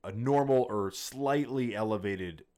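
A man's voice: fairly low pitch, unhurried, warm tone. A man in his thirties chatting casually in a rambling, informal, sweary way, like a podcast co-host. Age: 30s. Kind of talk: casual chat, profane.

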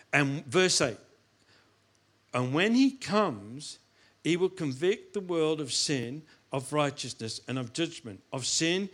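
A chatty pastor preaching, slightly high voice. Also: male voice, fairly bright tone. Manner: conversational, self-mocking, repeats words. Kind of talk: sermon with Bible reading.

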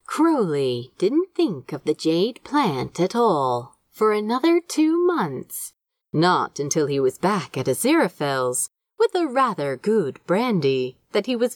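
A woman reading a story aloud in an expressive, wry and conversational way. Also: lowish voice, no room sound. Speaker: fan fiction narrator. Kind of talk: expressive scripted story narration.

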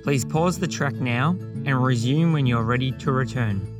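A man's voice: medium pitch, normal speed. A man presenting a guided reflection recording in a scripted announcement style. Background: guitar music.

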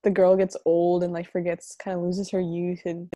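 A young woman around twenty, co-hosting a podcast, chatting casually and quickly with frequent laughs. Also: lowish voice, completely flat delivery, mellow, no echo, studio quality. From United States. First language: Punjabi. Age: 20s. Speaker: podcast co-host. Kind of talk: casual chat.

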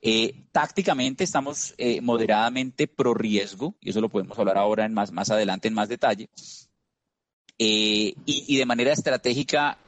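A Colombian man giving a measured market analysis as an interview guest, with frequent "eh" fillers and restarts. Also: lowish voice, steady tone, dry recording. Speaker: investment strategist guest.

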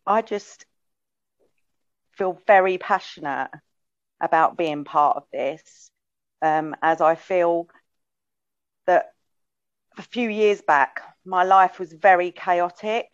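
A woman guest on a podcast sharing her personal story. She speaks softly and hesitantly, with frequent ums. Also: lowish voice, slow pace, pauses often, clean tone, dry recording, dull audio.

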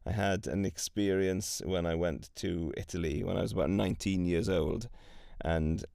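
A young man from Wales, a guest on a podcast, talking in a relaxed and chatty way. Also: deep voice, moderate pace, gravelly, clear, resonant tone, no room sound, studio quality. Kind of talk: casual conversation.

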